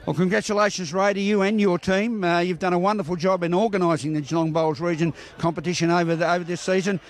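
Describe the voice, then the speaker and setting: A man with a high voice interviews club members at an outdoor bowling green for a local sports report. He is jovial and likes to tease the people he talks to. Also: fairly even intonation, fast talker. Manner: jovial, teasing. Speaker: bowls reporter.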